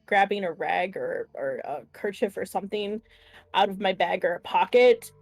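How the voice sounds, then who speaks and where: A woman's voice: medium pitch, moderate pace, creaky, no echo, faint music behind. A woman chatting casually and playfully as a player in a tabletop roleplaying game.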